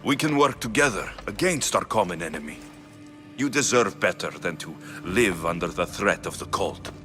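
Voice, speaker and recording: A low-pitched young warrior hero from a video game, confident and defiant. His delivery swings widely and dramatically, with an assertive edge. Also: lively intonation, moderate pace, a bit bright, faint music behind. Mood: resolute. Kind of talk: video game cutscene acting.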